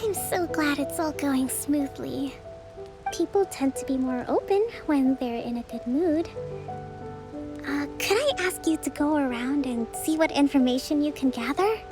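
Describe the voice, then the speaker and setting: A woman playing a gentle, earnest young island leader in a video game, speaking politely and in a measured, composed way. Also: high-pitched, music in the background. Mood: calm. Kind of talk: video game character acting.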